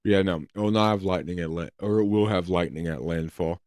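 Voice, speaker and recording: A man hosting a live weather stream, answering viewer questions casually and hesitantly, his voice going hoarse. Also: low voice, fairly quick, darkish tone, no room sound, studio quality. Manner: hesitant, with many ums and restarts. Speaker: livestream weather host.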